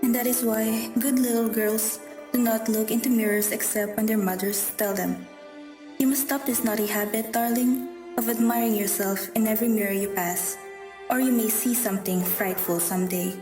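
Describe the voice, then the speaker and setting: A woman's voice: a little high, fairly even intonation, normal speed, natural voice. A woman playing a proud, haughty woman in an amateur radio drama, with a theatrical, emphatic delivery. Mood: wistful storytelling. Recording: distant, echoey room, faint music.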